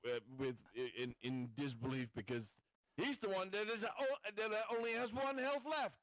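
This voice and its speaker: Slightly high-voiced man, halting and sweary. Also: a bit bright, rough recording. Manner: halting, repeats words, sweary. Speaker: tabletop game player. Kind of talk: casual game chat.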